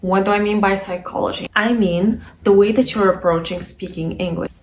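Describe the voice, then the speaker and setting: A woman's voice: medium pitch, fairly even intonation, moderate pace. Bulgarian-native woman teaching, echoey room. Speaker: language coach and video presenter. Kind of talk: instructional talk to camera. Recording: echoey room with faint background noise.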